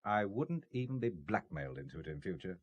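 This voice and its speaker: A man's voice: deep voice, big pitch swings, husky, dull audio, heavily acted. An actor playing a principled businessman blackmailed into espionage, speaking with dry, bitter sarcasm in an audio drama. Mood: sardonic. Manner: dry, sardonic, deliberate.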